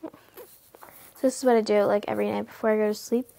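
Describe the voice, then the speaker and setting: A teenage girl vlogging from her bedroom at night, rambling casually with lots of fillers, often hushed, her nose stuffy from a cold. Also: mid-range voice, steady tone, fast talker, creaky. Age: teens. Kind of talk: casual late-night chat to camera. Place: bedroom at night, sitting on bed.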